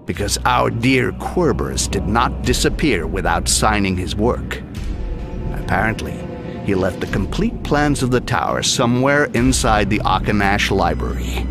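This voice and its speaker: An actor playing a cynical goblin thief in a video game, with a gravelly voice and a dry, sardonic manner. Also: male voice, on the low side, animated, a little slow, music in the background. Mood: mocking, smug.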